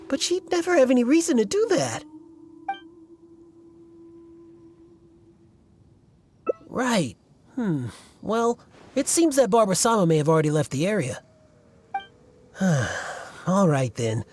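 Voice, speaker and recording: Devoted young video game fanboy, animated melody, fussy, hesitant, earnest, light background noise. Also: male voice, sits high. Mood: flustered, worried. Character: earnest, devoted young male fan club leader. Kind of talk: video game character dialogue.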